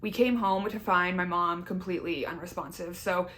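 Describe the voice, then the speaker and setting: A woman's voice: fairly low pitch, fairly even intonation, normal speed, a bit bright. Chatty young Canadian woman vlogger. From Southern Ontario, Canada. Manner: chatty, candid, emphatic with repeated words. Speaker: YouTuber. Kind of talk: casual personal update to camera.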